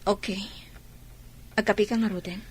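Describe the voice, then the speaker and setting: A woman's voice: mid-range voice, steady tone, unhurried, husky. An actress with a Filipino accent playing a weary, hurt wife and mother in a radio drama. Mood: coaxing, subdued. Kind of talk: Ilocano radio drama acting.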